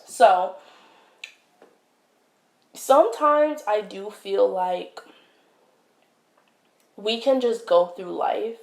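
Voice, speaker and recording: A young woman hosting her own podcast, talking in a casual, reflective way with many fillers and pauses, recorded at home on a close mic. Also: mid-range voice, gravelly. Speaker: podcast host. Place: indoors, home setup. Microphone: wired podcast mic, close. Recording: echoey room.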